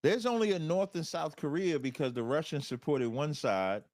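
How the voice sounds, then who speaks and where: A man's voice: fairly high pitch, animated, moderate pace, a little mellow, no echo. Assertive American man explaining, dry sound. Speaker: debate guest, religious group captain. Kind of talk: conversational debate.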